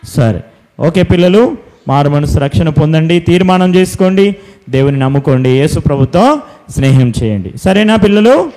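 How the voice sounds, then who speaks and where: Mellow man leading children's Bible school. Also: a little high, big pitch swings, moderate pace. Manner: instructing, repeats simple commands and questions. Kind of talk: preaching to children and leading prayer.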